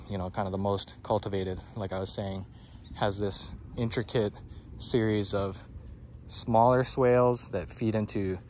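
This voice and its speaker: A man giving a relaxed walking tour of his garden outdoors, with lots of "um, you know," filmed on a handheld phone. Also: on the low side, a little hiss or hum. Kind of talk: casual walking tour explanation. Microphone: phone camera held by the speaker.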